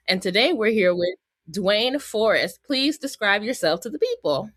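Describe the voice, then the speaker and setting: A woman's voice: medium pitch, big pitch swings, takes it slowly, dry recording. An American woman hosting a podcast in a chatty, informal way, speaking straight to her listeners. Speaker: podcast host. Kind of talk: casual podcast hosting and interviewing.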